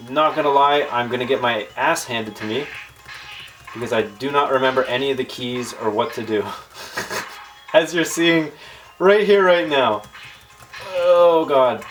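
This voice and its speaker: Casual, self-deprecating male gaming vlogger over music. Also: medium pitch. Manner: informal, self-deprecating, slangy. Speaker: video host. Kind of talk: casual tech and gaming vlog commentary. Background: background music.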